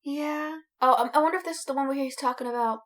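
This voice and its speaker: A woman with a fairly high voice chats casually as a podcast co-host. She gushes like a fan among friends and stretches words out for emphasis. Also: quick pace.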